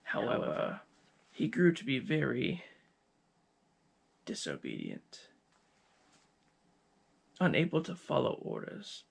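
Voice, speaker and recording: A man playing a cold, gloating scientist villain in an ASMR roleplay, speaking with deliberate, menacing pauses in a gravelly, husky voice. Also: mid-range voice, huge pitch swings, full character voice. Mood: proud, coldly menacing.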